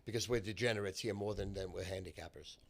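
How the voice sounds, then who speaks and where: A man's voice: low-pitched, fast talker, creaky. An older man giving casual sports betting opinions, emphatic and often repeating himself. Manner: emphatic, repetitive.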